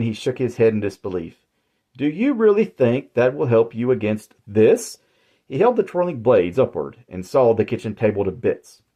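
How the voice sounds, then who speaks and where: Man reading a horror story, deep and dark. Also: breathy edge, muffled recording. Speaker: author and narrator.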